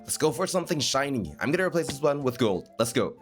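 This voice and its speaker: A man narrating his gameplay in a chatty, self-mocking voice-over, a bit raspy from a cold. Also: medium pitch, big pitch swings, fast. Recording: faint background music.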